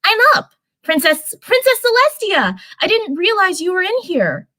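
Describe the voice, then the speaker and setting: A woman acts out a bookish young unicorn girl from a storybook, earnest and blunt. The pitch sits up high and moves in lively, emphatic jumps. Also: moderate pace, no room sound, heavily acted. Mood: startled. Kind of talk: storybook character reading.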